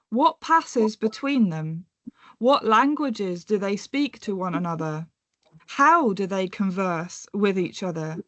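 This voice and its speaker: A woman's voice: mid-range voice, dry recording, highs cut off. Reflective woman in her thirties presenting. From born in England, raised in North America and Europe. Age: 30s. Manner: measured and reflective, slowing into questions. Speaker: conference presenter. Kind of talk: academic presentation.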